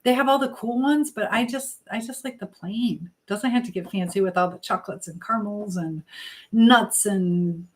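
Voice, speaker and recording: A woman's voice: mid-range voice, normal speed. Rambling woman chatting on a live stream. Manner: rambling, trailing off mid-thought. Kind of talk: casual live chat, reading out viewer comments.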